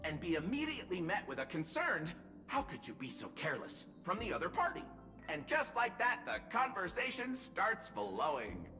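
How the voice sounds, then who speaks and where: An actor voicing a cheerful male robot in a video game who delivers dad jokes with a deadpan, chipper manner. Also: sits high, normal speed, big-room sound, over music.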